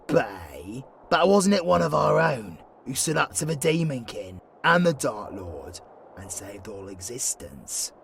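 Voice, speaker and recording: Guy doing a cocky young demon lad, creaky voice that swings around, blunt and casual, fantasy audio roleplay. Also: mid-range voice, sing-song, measured pace, fairly bright tone, full character voice. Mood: incredulous. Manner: blunt, informal. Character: brash young male demon warrior, incredulous and curious.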